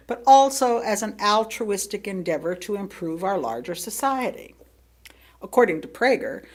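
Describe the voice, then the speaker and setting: A woman reading a sermon aloud to a congregation in measured, deliberate phrases with pauses between them. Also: medium pitch, breathy edge. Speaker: lay speaker reading another minister's sermon to a congregation. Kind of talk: sermon read aloud, with brief asides.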